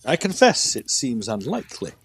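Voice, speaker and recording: A man's voice: medium pitch, wildly swooping pitch, normal speed, husky, exaggerated voice. A man playing a sceptical, inquisitive doctor in a period audio drama, probing and formal. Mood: suspicious. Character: sceptical, inquisitive male doctor questioning a patient.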